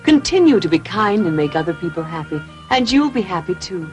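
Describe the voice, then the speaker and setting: A woman playing a kind, gracious fairy in a cartoon dub, speaking gently and formally. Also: fairly low pitch, very animated, fairly quick, soft background music. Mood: tender, approving. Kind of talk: classic cartoon dub acting.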